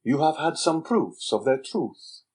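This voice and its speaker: A man voicing a sly, mocking young man with a husky voice, speaking slowly and insinuatingly. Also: on the high side, animated, a little mellow, pitched above the performer's own voice. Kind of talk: audiobook character reading.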